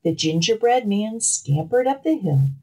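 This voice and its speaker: Slow, animated woman reading a storybook. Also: mid-range voice, breathy edge, low-quality recording. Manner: deliberate, dramatic storytelling. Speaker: children's librarian, storytime reader. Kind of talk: expressive picture-book reading.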